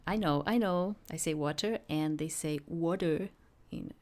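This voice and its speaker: A woman's voice: low-pitched, measured pace, darkish tone. A woman game streamer reading a visual novel aloud and commenting on it in a casual, chatty way. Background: game music.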